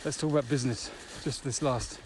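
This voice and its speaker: Male podcast co-host, average pitch, informal chat, open-air recording with faint background noise. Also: steady tone, brisk. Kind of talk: casual chat. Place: outdoors, walking in the countryside. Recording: outdoor walking recording with ambient noise.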